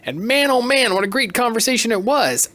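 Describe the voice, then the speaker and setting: A man's voice: very high-pitched, animated, a little fast, sharp tone, no echo. A man hosting a podcast solo, talking in a casual, chatty, self-deprecating and emphatic way. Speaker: podcast host.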